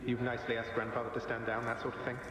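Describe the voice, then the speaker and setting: An actor playing a wry, easygoing young underworld prince in a video game, speaking in a conversational, slightly refined way. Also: male voice, mid-range voice, completely flat delivery, very fast, natural voice. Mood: wry, hopeful. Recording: heavy dreamlike reverb, game music.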